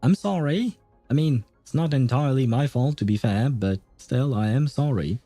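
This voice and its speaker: A man's voice: medium pitch, normal speed, no room sound. A man livestreaming a video game with casual, chatty commentary, thinking aloud as he plays. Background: soft game music.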